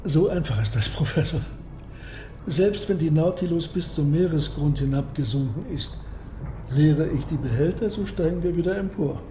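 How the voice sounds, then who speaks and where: High-voiced, proud submarine captain, played by a man. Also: warm tone, lightly acted. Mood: proudly explaining. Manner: measured, precise, formal. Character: proud, secretive submarine captain and engineer, cool and courteous. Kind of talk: German radio drama acting. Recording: roomy echo, clear noise behind.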